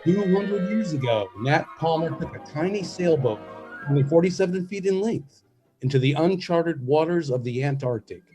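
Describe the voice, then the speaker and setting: A man's voice: on the high side, lively intonation, moderate pace. A man delivering scripted dramatic narration to introduce a radio play. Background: violin music.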